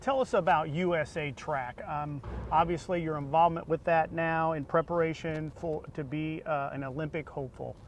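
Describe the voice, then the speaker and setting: A man's voice: fairly high pitch, steady tone, moderate pace, hardly any pauses, slight background noise. An American man speaking as the host of a conversational interview, putting questions to his guest.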